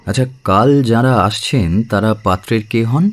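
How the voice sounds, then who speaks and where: A man's voice: lowish voice, unhurried, natural voice. An actor playing a courteous, caring young man in an audio drama, speaking politely and earnestly. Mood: earnest, probing. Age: young adult.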